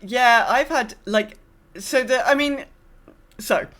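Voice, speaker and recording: A woman's voice: a little high, slow, fairly bright tone. A woman co-hosting a gaming podcast in casual chat, rambling and often breaking off mid-sentence. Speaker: podcast co-host.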